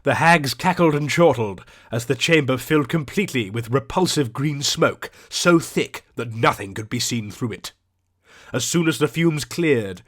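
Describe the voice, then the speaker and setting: Theatrical male audiobook narrator. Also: medium pitch. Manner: theatrical, ornate, deliberate delivery. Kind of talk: scripted fantasy story narration.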